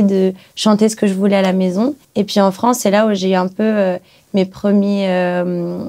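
A young woman from Brazil, a native Portuguese speaker, chatting as a guest singer in a small music studio, relaxed, with many pauses. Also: mid-range voice. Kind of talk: casual interview chat. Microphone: studio mic on stand.

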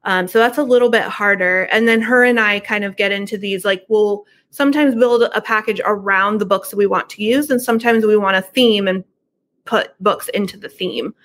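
A woman's voice: mid-range voice, normal speed, a bit bright. A middle-aged woman talking casually as an interview guest over a video call from a room at home. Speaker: podcast guest, horror book subscription business owner.

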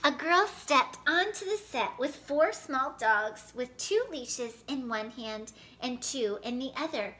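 A woman in her thirties reading a children's picture book aloud in an expressive, animated way. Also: sits high, takes it slowly, few pauses. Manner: animated, clearly paced storytelling. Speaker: storyteller reading picture books. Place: indoor living room by a fireplace.

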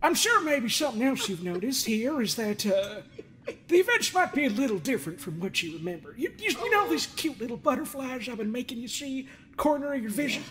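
A woman's voice: high-pitched, very animated, a little fast, fairly bright tone. A woman voicing a smug, scolding middle-aged male therapist of the universe in an improvised comedy game dub, rambling, folksy and theatrical. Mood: mock-clinical. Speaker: fan dub voice performer. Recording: music under the voice.

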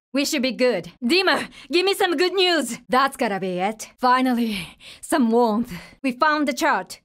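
A woman's voice: fairly high pitch, fairly bright tone. A woman playing a tough, determined young survivor of a zombie apocalypse in a video game, speaking in terse, urgent, businesslike lines. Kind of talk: video game voice lines, short dramatic callouts.

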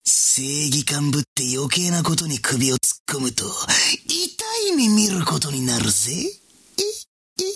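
A man voicing a sadistic cat villain in a video game, with a gravelly, taunting gangster drawl and exaggerated anime delivery. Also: fairly high pitch, big pitch swings, measured pace, fairly bright tone, full character voice. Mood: sneering threats. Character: sadistic, sneering cat villain with poison claws, male.

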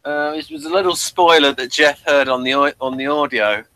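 A man's voice: fairly high pitch, animated, a little fast, gravelly, bright, forceful tone, smooth. A man hosting a casual episode review chat, talking in a hesitant, rambling way and addressing listeners. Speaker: host of a TV review discussion.

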